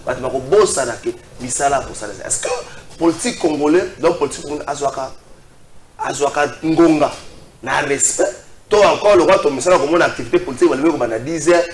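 Animateur hésitant, studio résonnant. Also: male voice, on the high side, roomy sound, a crowd in the background. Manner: halting, many fillers. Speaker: TV debate host. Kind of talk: TV debate moderation. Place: TV studio set.

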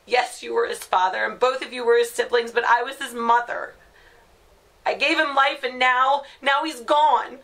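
A woman's voice: fairly low pitch, vocal fry, sharp tone, exaggerated voice. A woman, an actor playing a middle-aged grieving, furious mother in an emphatic, emotional dramatic monologue. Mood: anguished, furious. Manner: emphatic, emotional, with deliberate repetition. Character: grieving, furious mother of a dead grown son.

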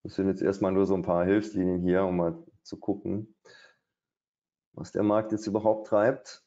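Low, gravelly man thinking aloud. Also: flat delivery, moderate pace, mellow. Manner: thinking aloud, hesitant, many fillers. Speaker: day trader. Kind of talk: live trading commentary. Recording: dull, lo-fi stream audio.

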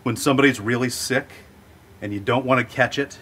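A man hosting an interview in a sound booth, running a jokey quiz game with playful deadpan punchlines. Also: medium pitch, brisk, a little hiss or hum. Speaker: host.

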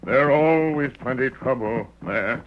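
A man's voice: medium pitch, unhurried, natural voice. A man playing a loyal, stolid companion of a cowboy hero in a 1930s radio drama, in terse, stilted broken English. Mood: calm, terse. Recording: crackly muffled 1930s radio recording.

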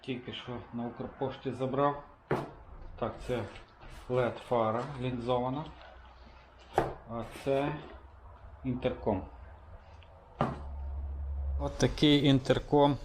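A man giving a relaxed, casual product demonstration, thinking aloud with small hesitations. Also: mid-range voice, takes it slowly, pauses often, noisy background. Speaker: motorcycle vlogger.

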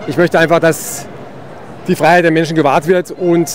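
Man, street protest interview, hesitant, slightly high voice. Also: little pitch movement, normal speed, slight background noise. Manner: hesitant, with fillers. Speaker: demonstrator. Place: outdoors at a city protest.

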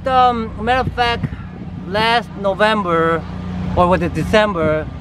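An older man from Korea with a Korean accent, talking haltingly with many fillers as a breeder in a casual outdoor interview. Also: sits high, steady tone. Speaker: reptile breeder and farm owner. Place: outdoors beside reptile enclosures. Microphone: camera mic, close framing. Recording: outdoor recording with loud background noise.

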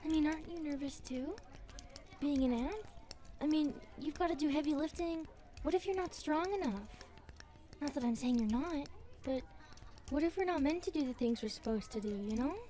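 A woman's voice: high voice, normal speed, warm tone, close to an everyday voice. A woman voicing a nervous, chatty young grasshopper girl full of self-doubt in an ASMR roleplay. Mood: anxious, doubting. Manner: hesitant, chatty. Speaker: roleplay voice actress.

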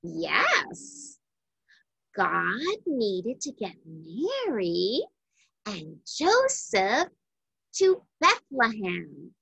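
A woman reading a children's Bible storybook aloud in a deliberate, emphatic way for young listeners. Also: fairly high pitch, wildly swooping pitch, gravelly, bright, forceful tone, slightly rough, no echo, poor audio.

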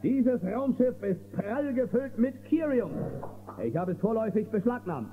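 A man's voice: high-pitched, sing-song, unhurried, full character voice. An actor playing a heroic, confident space western lawman in a children's audio drama, a man's voice. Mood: reassuring. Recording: tinny, noisy old cassette audio drama.

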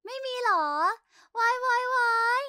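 A woman voicing a playful, teasing, friendly schoolgirl in an expressive anime style. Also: measured pace, dry recording, clean studio sound. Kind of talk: anime-style voice pack acting.

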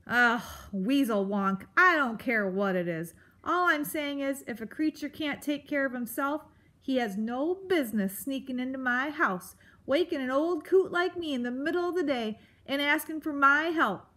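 A woman doing a loud cranky old porcupine. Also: high voice, animated, normal speed, hardly any pauses, pitched above the performer's own voice. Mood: cranky, bellowing. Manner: bellowing, insulting, cranky. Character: grumpy old male porcupine, loud and scornful. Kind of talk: storybook read-aloud.

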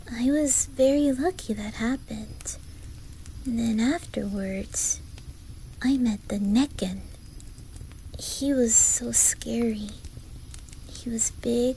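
Woman as a soft, high-voiced shy Swedish boy. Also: creaky, slight background noise, poor audio. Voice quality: soft, whispery. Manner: hesitant, halting, gentle. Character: shy, traumatized young Swedish boy from a fairy tale game. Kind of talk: ASMR roleplay.

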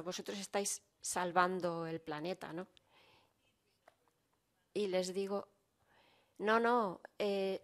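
A woman working as a simultaneous interpreter at a conference, relaying a speaker's words steadily and fluently with small fillers. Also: medium pitch.

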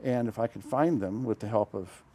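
An older man, a retired diplomat, recalling his career in a relaxed studio interview, halting often with uh and small self-corrections. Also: fairly low pitch, slightly rough. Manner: rambling, halting, frequent uh and self-corrections, chuckles. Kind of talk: conversational storytelling interview. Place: small dark studio set, indoors. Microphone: lapel mic.

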